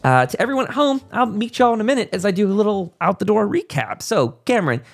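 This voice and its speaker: A man's voice: high-pitched, sing-song, quick pace, creaky. A man hosting a podcast in a casual interview style, rambling along with folksy enthusiasm. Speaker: podcast host.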